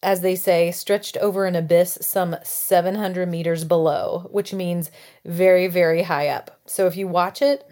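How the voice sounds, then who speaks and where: American woman cohosting a podcast, lowish voice, just chatting casually. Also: fairly even intonation, a little slow, dark tone. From United States. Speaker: podcast co-host. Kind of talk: casual chat.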